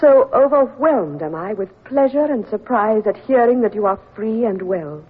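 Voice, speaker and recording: Brief: composed aristocratic British lady, slightly husky voice, tinny broadcast sound. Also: fairly high pitch, expressive, normal speed. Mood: tender. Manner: gracious and composed. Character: gentle, formal aristocratic English lady. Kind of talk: 1950s radio drama letter reading. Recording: tinny old radio broadcast recording.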